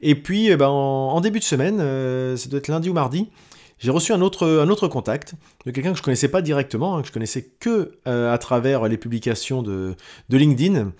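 A man's voice: mid-range voice, animated, a little mellow. A man, talking through his weekly audio diary with many hesitations and restarts. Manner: halting, many fillers and restarts. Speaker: podcast host.

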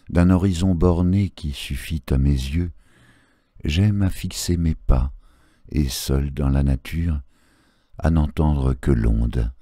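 A man reciting poetry in a solemn literary style, measured and phrase by phrase, with pauses. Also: a bass voice, dark tone, no room sound, studio quality. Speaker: poetry reader.

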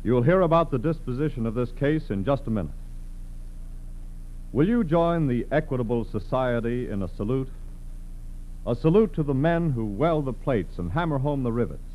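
A man's voice: mid-range voice, darkish tone, a little hiss or hum, low-quality recording. A man with an American accent making formal, emphatic scripted announcements as a 1940s radio spokesman. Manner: emphatic, formal, measured phrasing. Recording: vintage 1940s radio broadcast.

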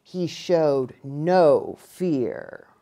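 A middle-aged American woman narrating a children's storybook in an expressive, deliberate way. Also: sits low. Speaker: pastor reading to children. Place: small furnished room, indoors.

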